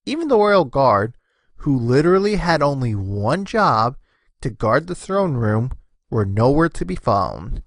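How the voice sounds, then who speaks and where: A man's voice: medium pitch, big pitch swings, unhurried, dry recording, studio quality. A man narrating a scripted story expressively, as the narrator of an audio fan fiction.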